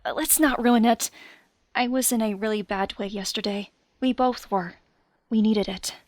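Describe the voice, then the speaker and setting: An actress playing a lonely, grieving young woman in an audio drama, with a breathy delivery. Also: a little high, moderate pace, no room sound, studio quality, close to an everyday voice. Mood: awkward, evasive.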